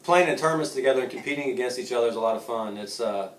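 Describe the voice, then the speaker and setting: A man's voice: mid-range voice, racing, reverberant, recorded from a distance, low-quality recording. A man in his thirties from Savannah, a golfer answering interview questions in a joking, rambling way with lots of laughs and restarts. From Savannah, Georgia. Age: 30s.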